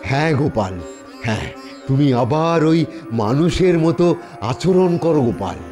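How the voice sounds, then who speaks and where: Slow, pedantic cartoon court physician. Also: male voice, fairly high pitch, lively intonation, a little mellow. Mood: placating. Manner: halting, drawn-out, solemnly theatrical. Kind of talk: animated comedy voice acting. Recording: background music.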